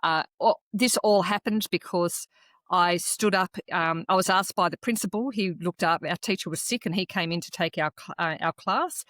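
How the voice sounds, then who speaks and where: A woman whose was Croatian, co-hosting a podcast and telling a personal story in an earnest, reflective way with halting restarts. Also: fairly low pitch, fairly bright tone, dry recording. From Australia. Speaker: podcast co-host. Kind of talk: casual conversational podcast chat.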